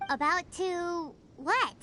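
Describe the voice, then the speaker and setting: A woman voicing a tiny, excitable childlike fairy in a video game, squeaky and bouncy. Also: slow pace, background noise. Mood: puzzled. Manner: refers to self by name, bouncy. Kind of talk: cartoonish video game acting. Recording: loud game music behind.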